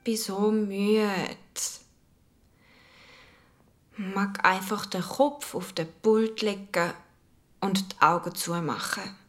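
A woman's voice: medium pitch, takes it slowly, natural voice. A young woman with a Swiss German accent playing an earnest, imaginative schoolchild who narrates an adventure in a children's radio play, musing aloud. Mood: tired, quiet.

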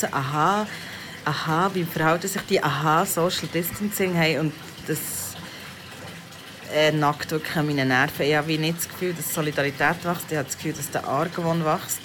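A Swiss German woman speaks in a notably low voice. She muses candidly and without a script, like a personal podcast diary. Clear background noise runs under her words. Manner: candid, unscripted, musing. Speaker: podcast host and parent. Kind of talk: casual personal audio diary.

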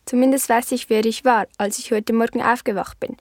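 A woman's voice: a little high, fairly even intonation, a little fast, a little mellow, no echo, studio quality, natural voice. A woman playing a confused, apprehensive girl, speaking hesitantly and earnestly in a literary audio drama.

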